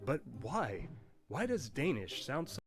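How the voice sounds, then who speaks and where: A man reading a scripted narration with a wry, playful touch, his pitch moving in animated swings. Soft guitar music runs faintly behind him. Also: measured pace. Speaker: narrator of a language history video.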